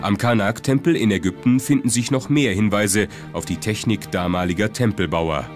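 Male documentary narrator, lower register, clear music bed underneath. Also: normal speed.

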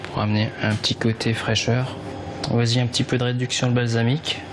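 Male chef, middle-aged, medium pitch, explains each cooking step over clear sizzle and background noise. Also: level tone, a little fast. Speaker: restaurant chef. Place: restaurant kitchen and dining room. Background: frying, sizzling, stirring.

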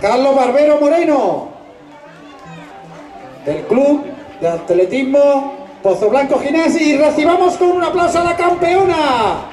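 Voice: high voice, animated, measured pace, long pauses, a little mellow. A finish-line announcer calls a live race over a PA, stretching names out emphatically and rallying applause. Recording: echoey PA at crowded event, music behind.

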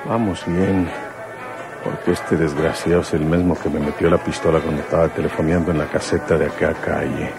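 A man's voice: a bass voice, fairly even intonation, fairly quick. An actor playing a bitter, vengeful man with a Mexican accent, muttering scornful asides in a radio drama monologue. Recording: music behind the voice.

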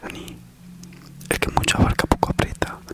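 A man from Spain delivers an ASMR parody entirely in whispers. His manner is soothing and reassuring on the surface, with a teasing edge underneath. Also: normal speed. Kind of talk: whispered ASMR comedy monologue. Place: small living room at home, on a sofa.

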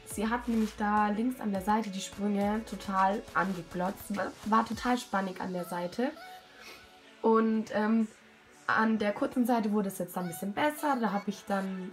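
A hoarse young woman with a cold, talking casually and self-critically over a video of her riding. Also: mid-range voice. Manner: self-critical, chatty, many fillers. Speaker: rider commenting her own show-jumping test video. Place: at home, voice-over.

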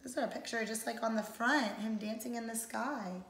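A woman's voice: fairly high pitch, moderate pace, a bit bright, slight background noise. A young woman reading a picture book aloud to young children, adding simple explanatory asides. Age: young adult. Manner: simple, child-directed phrasing. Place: indoors, living room on a leather couch. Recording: roomy, echoey home recording.

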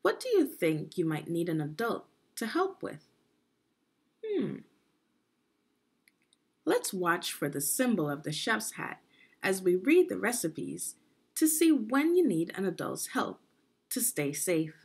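Slow, husky woman reading to children. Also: medium pitch, big pitch swings. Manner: deliberate, instructive, with pauses and questions. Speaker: education consultant reading aloud to children. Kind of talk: guided read-aloud lesson for young children.

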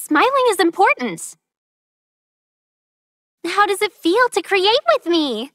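Very high-voiced playful young artist girl. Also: very animated, slow pace, fairly bright tone, no echo, studio quality, soft background music, full character voice. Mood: cheerful, teasing. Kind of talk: video game character voice lines.

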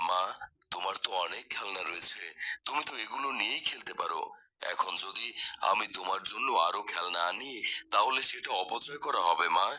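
A man playing a pious, patient father who gently admonishes his daughter in a cartoon. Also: on the low side, close to an everyday voice. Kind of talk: cartoon dialogue.

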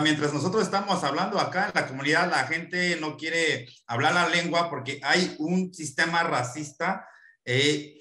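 A man's voice: a little high, pushed and bright. Earnest man on an echoey video call. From Mexico. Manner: thoughtful, earnest, frequent fillers and tag questions. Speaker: lecturer answering questions. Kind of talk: online lecture Q&A. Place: small room at home, window behind. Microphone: laptop webcam video call.

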